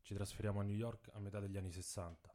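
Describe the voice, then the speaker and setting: A man performs as a storyteller for radio theatre. His voice is dark and relaxed, and he delivers a written narrative as dramatic narration. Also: on the low side, fast talker.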